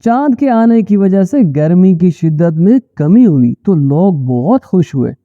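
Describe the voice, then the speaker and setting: High-pitched, animated male storyteller. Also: a little slow, dry recording, narrow, tinny audio. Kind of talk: scripted children's story narration.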